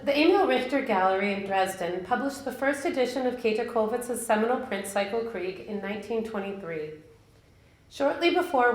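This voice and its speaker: A woman curator giving an academic lecture, reading a scholarly script after a casual opening with a few fillers. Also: mid-range voice. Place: museum lecture hall. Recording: echoey lecture hall.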